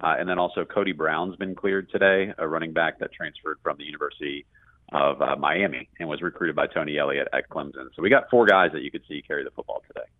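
Deep-voiced man talking sports. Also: fast, no room sound, narrow, tinny audio. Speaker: radio play-by-play guest. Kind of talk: conversational sports analysis.

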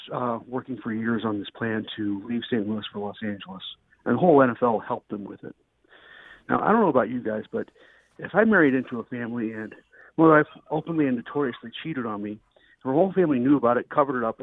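A man's voice: medium pitch, no echo. An American man ranting with strong opinions as a sports radio caller on a tinny telephone line. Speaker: radio call-in listener. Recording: tinny dry phone line.

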